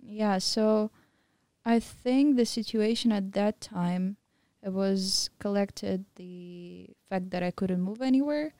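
Young woman guest, mellow tone with some fry, casual storyteller. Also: mid-range voice, slow, no room sound. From Mongolian-German, living in Thailand. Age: young adult. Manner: candid, storytelling with many fillers. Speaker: podcast guest. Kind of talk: casual interview chat.

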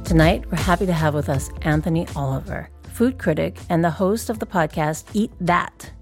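An actress playing a composed public radio news host, presenting in a measured, formal way in an audio drama. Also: female voice, on the low side, a little mellow, close to an everyday voice.

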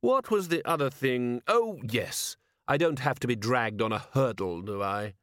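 A man voicing an unruffled aristocratic ruler, polite and measured, with dry mischief and feigned innocence, in an audiobook. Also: mid-range voice, animated, a bit bright, no echo. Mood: innocently needling.

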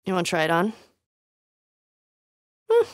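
A woman's voice: on the low side, huge pitch swings, a little slow, no echo, clean studio sound. A young woman playing a tough, foul-mouthed, wry survivor in a video game, muttering in terse, conversational asides. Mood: wry, matter-of-fact. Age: young adult. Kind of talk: video game voice acting, naturalistic.

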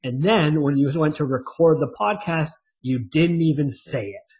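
A man's voice: a little high, moderate pace. Joking man on a lo-fi podcast. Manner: joking, animated, self-mocking. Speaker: podcast co-host. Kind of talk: casual podcast chat. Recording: dull lo-fi sound.

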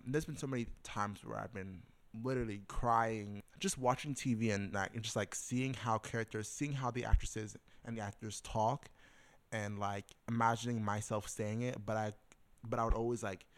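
A man's voice: on the low side, no room sound. A young man as a podcast guest, sharing a personal faith story in a casual way, with restarts, repeated words and many fillers. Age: young adult. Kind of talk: personal testimony in casual conversation.

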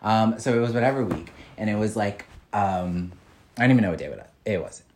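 A man's voice: fairly low pitch, fairly quick, a little mellow, slight background noise. A young man co-hosting a podcast, telling stories in a rambling way full of "like" and "um". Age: young adult. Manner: rambling, filler-heavy storytelling. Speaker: podcast co-host. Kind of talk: casual chat.

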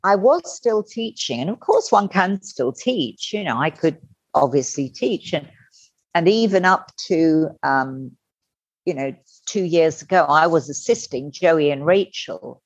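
A middle-aged woman telling her personal story as a podcast guest, candid and animated, acting out the voices she quotes. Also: low voice, a little slow, husky, no echo. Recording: dull lo-fi dry sound.

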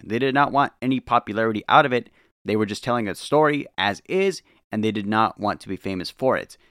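Low-voiced man with a very animated delivery. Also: dry recording, clean studio sound. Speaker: podcast host. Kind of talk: solo podcast monologue on the paranormal.

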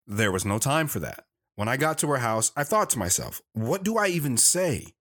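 A man's voice: medium pitch, big pitch swings, moderate pace, fairly bright tone. A man playing an earnest, awkward teenage athlete who recounts his past in an audio drama, speaking plainly. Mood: reflective. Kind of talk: dramatic first-person audio drama narration and dialogue.

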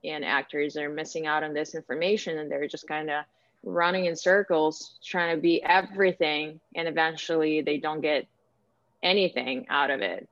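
Casting: woman, Ukrainian accent, low register, conversational and hesitant interviewee, muffled lo-fi recording. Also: steady tone, moderate pace, a bit bright. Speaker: interviewee, actor and director. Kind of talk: casual interview answers. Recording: echoey room, dull lo-fi sound.

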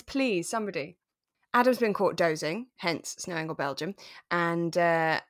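A woman co-hosting a casual review podcast, chatting in a giggly, animated way with enthusiastic gasps. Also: fairly low pitch, no room sound, studio quality. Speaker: co-host of a radio drama review podcast. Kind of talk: casual chat.

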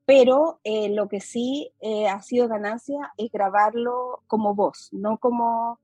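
A woman's voice: a little high, steady tone, takes it slowly, warm tone, no echo, faint music behind. A woman with a mixed Chilean accent hosting a casual podcast chat over a video call, talking in a chatty way with many fillers. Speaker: podcast host.

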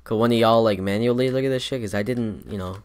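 Low-voiced young man rambling casually. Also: fast, a little hiss or hum. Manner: rambling, laughing, many restarts and fillers. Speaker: podcast co-host and rapper. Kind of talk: casual chat.

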